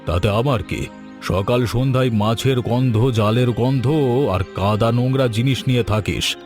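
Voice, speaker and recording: A man's voice: medium pitch, measured pace, darkish tone, soft background music, lightly acted. A man voicing a haughty older rich landlord in a cartoon, pompous and scornful. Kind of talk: scripted cartoon dialogue.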